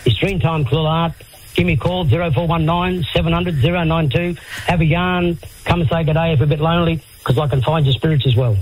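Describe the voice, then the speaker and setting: A man's voice: on the high side, steady tone, slight background noise, poor audio. A man giving a casual, chatty promo for his business. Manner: chatty, informal, rushing.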